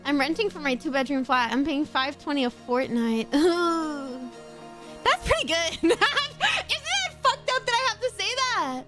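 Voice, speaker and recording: A woman's voice: extremely high pitch, lively intonation, a little slow, sharp tone, soft background music. A woman from Australia with a mostly North American-sounding accent and a slight Australian edge on some words, chatting casually on stream and reading chat aloud. She laughs often and swears casually.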